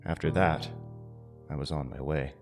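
Man playing low-voiced zombie survivor telling his story. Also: fairly even intonation, normal speed, creaky, over music. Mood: reflective. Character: injured male zombie-apocalypse survivor, easygoing and polite. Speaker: narrator and lead character. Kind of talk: audio drama narration and dialogue.

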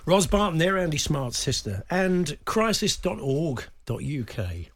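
A man's voice: fairly high pitch, sing-song, a little slow. A man hosting a radio talk show who questions guests in a friendly, chatty way. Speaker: radio host.

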